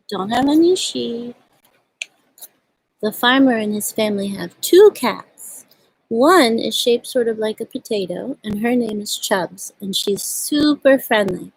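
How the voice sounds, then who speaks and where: A woman with a husky voice hosting a storytime for young children, speaking gently and in simple phrases as she reads and chats outdoors. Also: mid-range voice, rough recording. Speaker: children's storytime host at a community farm. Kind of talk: storybook read-aloud and casual chat to children. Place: outdoors at a farm.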